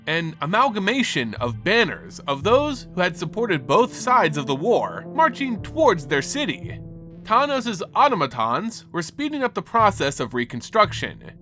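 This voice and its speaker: A man's voice: on the high side, fairly bright tone. A man giving a solemn, theatrical dramatic reading of fantasy lore as a storyteller. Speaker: narrator.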